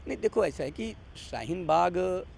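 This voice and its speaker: Emphatic man with a creaky, higher-pitched voice. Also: lively intonation, normal speed, darkish tone, slight background noise. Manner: emphatic, repeats phrases, rhetorical questions. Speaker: interview guest giving political commentary. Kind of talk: argumentative political interview.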